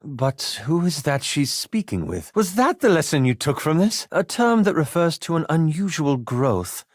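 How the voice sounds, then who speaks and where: Prim, animated scholarly elf wizard. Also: male voice, fairly high pitch, normal speed, no room sound. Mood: cautious, wry. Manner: precise, formal, dry and exasperated diction. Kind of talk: video game character dialogue.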